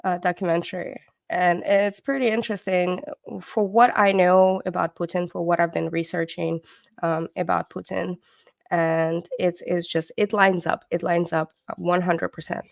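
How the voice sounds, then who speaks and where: A woman's voice: fairly low pitch, little pitch movement, normal speed, creaky, no room sound. A middle-aged woman whose first language is Russian, born in the Soviet Union, hosting a livestream and talking in a loose, rambling way with many hesitations and restarts. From born in the USSR, Ukrainian background. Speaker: livestream host. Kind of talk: casual livestream commentary.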